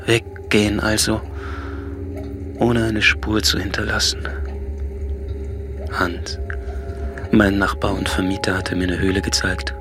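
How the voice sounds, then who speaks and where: An actor playing a solitary, coolly analytical man near forty, speaking a measured, introspective literary monologue that often sinks to a murmur. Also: mid-range voice, gravelly, sharp tone, slight background noise. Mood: quiet, reflective. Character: brooding, solitary thirty-nine-year-old man, coolly analytical. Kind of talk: literary radio drama monologue. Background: music.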